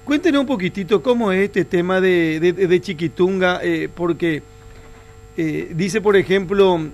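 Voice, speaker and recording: A man with a Paraguayan accent hosting a conversational interview, feeling his way through halting questions. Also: high-pitched, a little mellow. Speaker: interviewer, radio host.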